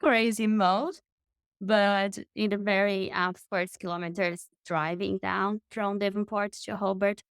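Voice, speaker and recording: A woman's voice: mid-range voice, fairly even intonation, measured pace, clean tone, no echo, studio quality. A woman in her thirties from Brazil with a Brazilian Portuguese accent, a guest telling her story in an interview with enthusiasm and frequent restarts. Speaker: guest, marketing consultant.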